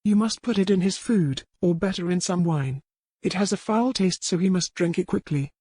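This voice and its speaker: A woman's voice: lowish voice, mellow, husky, dry recording, studio quality. Woman acting a bitter old village woman. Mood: instructing, secretive. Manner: archaic, deliberate phrasing. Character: bitter old village woman, poison-maker, grimly resolute.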